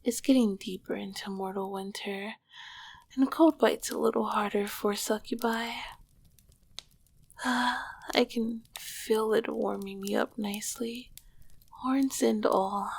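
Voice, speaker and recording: A woman voicing an ancient, lonely and affectionate succubus queen in an intimate ASMR roleplay, breathy and often slipping into a whisper, with doting, eloquent phrasing. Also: mid-range voice, fairly even intonation, takes it slowly, long pauses, a little mellow, heavily acted. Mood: savoring, content.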